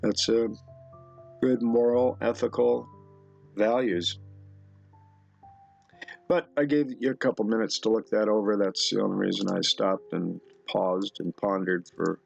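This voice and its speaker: A middle-aged man giving rambling off-the-cuff commentary in a halting, musing way, as if talking to himself at his computer. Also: fairly low pitch, fairly even intonation, vocal fry, highs cut off, faint music behind. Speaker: video host. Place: indoor room at a computer.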